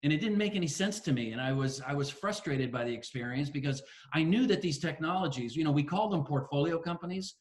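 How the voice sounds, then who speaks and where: An older man from Connecticut, a guest on a video-call interview, telling his story in a measured way from a spacious living room, with frequent restarts. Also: on the high side, lively intonation. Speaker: guest, investor. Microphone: laptop webcam on video call.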